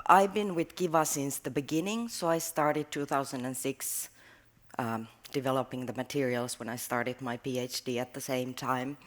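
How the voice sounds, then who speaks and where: Co-presenter, woman, fast chatty talk at a conference. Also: low-pitched. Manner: chatty, quick, with fillers. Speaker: researcher and teacher trainer. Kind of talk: workshop presentation. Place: conference room.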